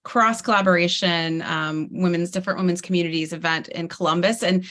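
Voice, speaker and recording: Slightly raspy woman hosting. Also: on the low side, steady tone, brisk, a bit bright. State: voice strained, starting to go. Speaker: podcast host. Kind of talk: warm-up introduction and interview chat.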